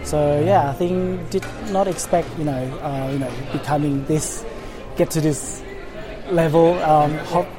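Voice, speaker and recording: A man answering interview questions in a halting way with many fillers. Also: on the high side, fairly even intonation, music in the background. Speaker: award winner interviewee.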